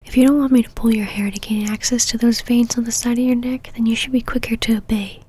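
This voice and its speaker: A woman playing a possessive, teasing, domineering female vampire knight in an ASMR roleplay, mostly in a breathy whisper with a commanding manner. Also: on the high side, fairly even intonation, quick pace, warm tone. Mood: hungry, commanding.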